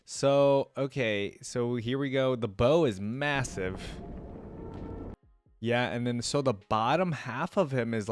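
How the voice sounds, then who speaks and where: A young man hosting a reaction video, thinking aloud casually and breaking into excited exclamations. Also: mid-range voice. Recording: voice over playing video music.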